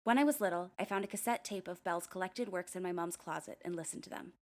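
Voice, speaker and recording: A young woman playing a narrator who recounts eerie experiences in a measured, confiding way, in an audio drama. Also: lowish voice, lightly acted. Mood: confiding, serious.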